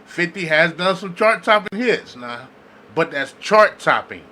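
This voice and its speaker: A man's voice: high voice, lively intonation, unhurried, pushed and bright, a little hiss or hum. A man co-hosting a casual podcast debate, arguing in profane slang and repeating words for emphasis. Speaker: podcast co-host.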